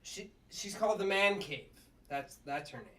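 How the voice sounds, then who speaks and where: A man's voice: high-pitched, lively intonation, normal speed, pushed and bright, recorded from a distance. A man playing a short-tempered, bossy puppet district attorney in a sitcom table read, blustering and tripping over his words. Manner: blustering, stumbles and repeats words.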